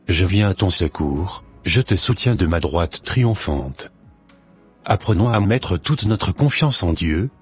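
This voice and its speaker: Casting: male narrator, very low and husky, solemn scripted exhortation. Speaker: narrator and host.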